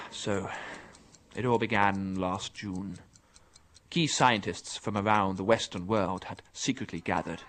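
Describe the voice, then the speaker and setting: An actor playing a middle-aged, defensive professor confined to an asylum, insistent, bitter and articulate, in a radio drama. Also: male voice, on the low side, fairly even intonation, slow, a bit bright, a little hiss or hum. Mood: earnest narrating.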